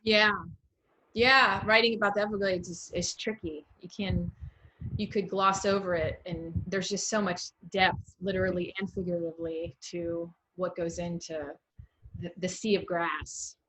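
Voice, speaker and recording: A woman's voice: lowish voice, steady tone, moderate pace, sharp tone, poor audio. A woman hosting a casual literary interview, asking questions in an encouraging, conversational way. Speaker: interview host.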